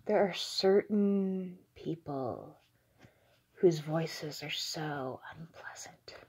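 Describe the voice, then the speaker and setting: A young adult woman giving a whispered, halting ASMR monologue in a breathy voice, recorded indoors on a phone held at arm's length. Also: low-pitched, dark tone. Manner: slow, hesitant, halting. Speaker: ASMR video creator. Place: small indoor room with curtains.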